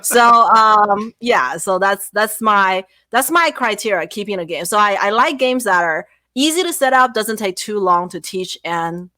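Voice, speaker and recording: A woman whose first language is not English, a guest content creator chatting casually and lively on a livestream. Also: mid-range voice, a little slow, hardly any pauses, no echo. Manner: lively, laughing, self-deprecating.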